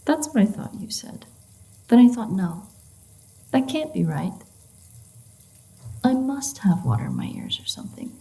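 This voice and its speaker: A woman's voice: on the high side, big pitch swings, a little slow, vocal fry, long pauses, dark tone, full character voice, poor audio. A woman playing a sardonic, free-spirited, flirtatious female vampire in an intimate audio roleplay, teasing and swearing as she goes. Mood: sarcastic, teasing.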